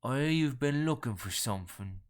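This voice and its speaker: A man's voice: medium pitch, lively intonation, normal speed, close to an everyday voice. Man acting a guttural, nasal Cockney rogue. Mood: sly, probing. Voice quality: guttural, nasal, hoarse, scratchy smoker's voice. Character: gruff hard-drinking London man, sly and insistent.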